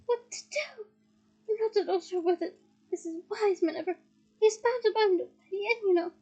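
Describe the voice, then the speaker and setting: A woman's voice: very high voice, moderate pace, dark tone, highs cut off, pitched above the performer's own voice. A woman voicing a pompous, fretful old male wizard who talks in a fluster.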